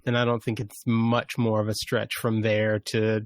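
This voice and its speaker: A man co-hosting a podcast, explaining and qualifying his points in a relaxed, informal discussion. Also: on the low side, normal speed, no echo. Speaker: podcast host. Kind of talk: casual discussion.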